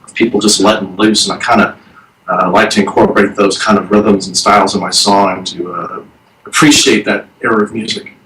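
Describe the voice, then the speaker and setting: A man in his thirties speaks as a band guest, slow and hesitant, full of fillers. His voice is low-pitched and sounds far from the mic in an echoey room, with faint noise around it. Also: fairly even intonation, fairly bright tone. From Texas. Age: 30s. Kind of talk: casual interview chat.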